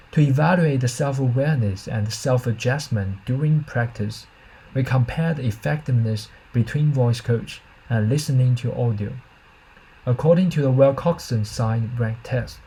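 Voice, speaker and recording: A man's voice: mid-range voice, warm tone, breathy edge, low-quality recording. A man reading a scripted academic presentation as a researcher, in a careful, formal manner with slight stumbles.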